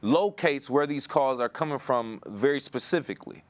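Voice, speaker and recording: Male interview host, American, mid pitch. Conversational, roundabout phrasing with repeated words. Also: expressive, moderate pace.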